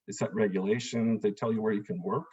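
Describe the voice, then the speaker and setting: A man's voice: on the low side, steady tone, quick pace, darkish tone, slightly rough, dull audio. A man, an immigration attorney, giving an earnest, explanatory town hall talk over a video call, with frequent "um"s and "uh"s.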